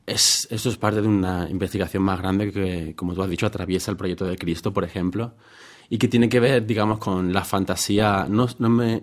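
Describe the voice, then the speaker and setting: A man from Seville in his thirties, a guest researcher answering interview questions thoughtfully, pausing and restarting as he thinks. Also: low voice. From Spain, Seville. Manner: hesitant, many fillers and restarts while thinking aloud.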